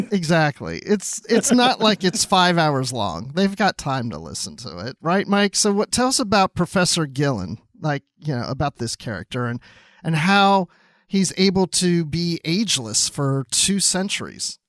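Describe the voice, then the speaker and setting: A man hosts a podcast as casual chat, his slightly raised pitch moving around a lot. He is playful and teasing, and recorded very dry. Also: big pitch swings, unhurried, fairly bright tone, no echo. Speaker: podcast host.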